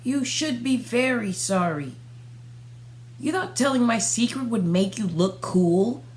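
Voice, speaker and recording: A woman's voice: on the high side, lively intonation, measured pace. A woman voicing a hurt, stern young girl in a children's storybook, firm and reproachful. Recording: faint background noise and hum.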